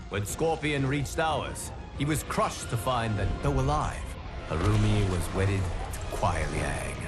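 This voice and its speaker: Wise watchful guardian narrating a game story, really slow n grave, formal, loud music under him. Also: male voice, fairly low pitch. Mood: grave storytelling. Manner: solemn, measured, formal delivery. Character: solemn male guardian of timelines, wise and watchful. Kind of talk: dramatic scripted narration. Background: loud game soundtrack music.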